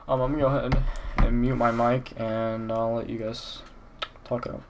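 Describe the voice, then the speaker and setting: A young man hosting an online esports match with a stuffy voice from a sinus infection, pausing on frequent "um"s. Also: mid-range voice, little pitch movement, noisy background. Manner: hesitant, many "um"s. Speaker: tournament organizer and host.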